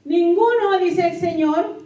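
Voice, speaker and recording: A woman pastor delivers an emphatic sermon in a very high voice. She repeats key phrases and questions her listeners as a native Spanish speaker. The sound is echoey, picked up some distance from the microphone. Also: takes it slowly, mellow, highs cut off. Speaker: pastor preaching to a congregation. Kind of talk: sermon with scripture reading.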